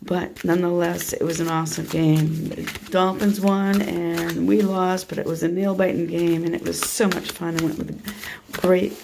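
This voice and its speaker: A hoarse woman chatting through a tarot card reading, soft and meandering as she shuffles and lays out cards. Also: on the low side, level tone, normal speed, noisy background. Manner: soft, meandering, half to herself while handling cards. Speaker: tarot reader. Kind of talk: casual tarot reading monologue.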